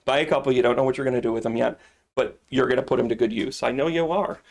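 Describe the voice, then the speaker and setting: A middle-aged man chats through a live product demo from his shop. He rambles and cracks jokes between sales pitches, at an ordinary middle pitch. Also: fairly even intonation, quick pace, creaky. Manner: joking, rambling, salesy asides. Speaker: shop owner and live-stream host.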